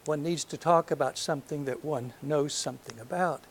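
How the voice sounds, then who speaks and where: An older man from North Alabama with a soft voice, giving a reflective lecture to an audience, hesitant and full of ums, with gentle self-deprecating humor. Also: on the high side, fairly quick, slight background noise. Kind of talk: last lecture, reminiscence. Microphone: handheld or podium microphone.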